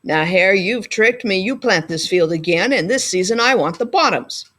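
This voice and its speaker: A woman's voice: medium pitch, expressive, normal speed, fairly bright tone. A woman voicing a big, sleepy male bear in a children's storybook, grumbling and sluggish. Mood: grumpy, indignant.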